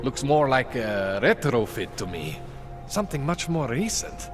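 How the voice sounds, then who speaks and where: Game role: slick, jittery male underground tech. Swooping, animated pitch, wheedling, music behind. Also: measured pace. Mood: appraising. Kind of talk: video game cutscene acting.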